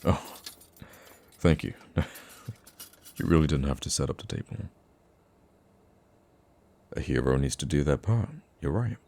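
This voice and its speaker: A man playing a gruff, teasing supervillain with a secret soft side in an intimate ASMR roleplay, dry and grumbling but affectionate. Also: lively intonation, a little slow, long pauses, full character voice. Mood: soft, amused. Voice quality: very deep, gravelly.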